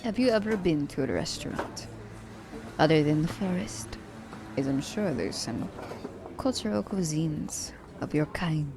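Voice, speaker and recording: A woman's voice: low voice, animated, a little slow, background noise. A woman playing a poised, teasing female assassin in an ASMR romance roleplay, speaking formally and condescendingly to her captive werewolf. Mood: teasing, welcoming. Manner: formal, slightly stilted wording, teasing. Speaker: voice actor.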